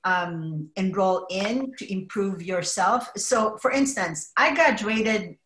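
A middle-aged woman from the Philippines, a podcast guest talking in an earnest, measured way with frequent fillers. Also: mid-range voice, fairly bright tone, roomy sound, a little hiss or hum, low-quality recording. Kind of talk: casual interview conversation.

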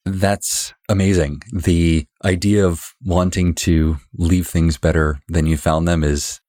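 A man's voice: deep voice, little pitch movement, takes it slowly, a little mellow, no room sound, studio quality. A man hosting a workplace podcast, reading a scripted intro and then asking earnest, conversational questions. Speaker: podcast host.

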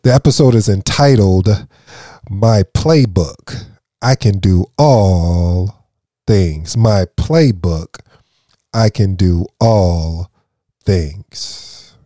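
A man with a low, dark, mellow radio voice delivers a motivational podcast monologue. He preaches to his listeners as "family," restating and repeating his points. The sound is close and dry, with no room around it. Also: extremely slow, no room sound. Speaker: podcast host.

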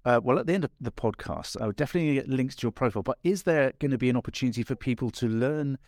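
A man with a mid-pitched voice, hosting a podcast and interviewing a guest. He pauses often with ums and restarts his questions. His voice is close and dry, with no room echo. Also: darkish tone. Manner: hesitant, many ums and restarts. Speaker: podcast host.

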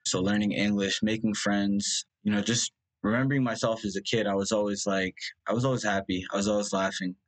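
Rambling young man with a lowish voice. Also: steady tone, a little fast. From India. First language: Punjabi. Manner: rambling, full of "like" and restarts. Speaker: guest. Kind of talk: reflective personal conversation.

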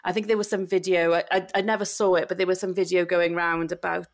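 A woman's voice: fairly low pitch, little pitch movement, fast talker. A young woman doctor co-hosting a podcast, chatting casually with frequent laughs and restarts. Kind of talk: casual chat.

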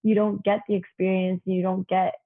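A young woman in her twenties with a youthful, slightly husky voice, talking casually as an interview guest. Her speech is full of "you know" fillers, and the sound comes through a thin, phone-like line. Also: mid-range voice, flat delivery, normal speed. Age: 20s.

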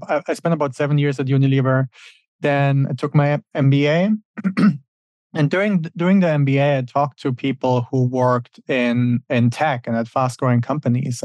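A man in his thirties from Germany, a podcast guest answering in a relaxed way, with frequent fillers and restarts as he thinks aloud. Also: medium pitch, no room sound. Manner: thoughtful, many fillers and restarts. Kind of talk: casual interview answers.

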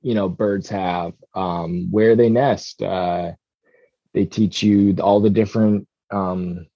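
A man's voice: sits low, steady tone, takes it slowly, mellow, no echo, lo-fi sound. A man from Southeast Alaska, a commercial fisherman chatting casually as a podcast guest, rambling with many ums and restarts.

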